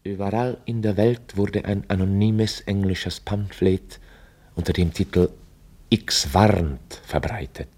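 An actor playing a formal chronicler, narrating a scripted radio drama in a documentary manner. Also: male voice, deep voice, fairly even intonation, slow pace, darkish tone, husky, heavily acted. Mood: measured, dry. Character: formal chronicler recounting a history.

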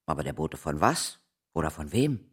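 An actor playing an eager, probing male ghost hunter in a children's radio drama, questioning in an animated way. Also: a bass voice, moderate pace, slightly rough, exaggerated voice. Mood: pondering.

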